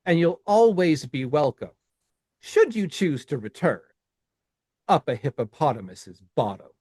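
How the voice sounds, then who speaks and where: A man co-hosting a podcast, reading his own rhyming poem in a measured cadence and then chatting with frequent restarts. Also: fairly high pitch, big pitch swings, darkish tone, husky, no echo, clean studio sound. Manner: slow, deliberate, with halting restarts in chat. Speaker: podcast co-host.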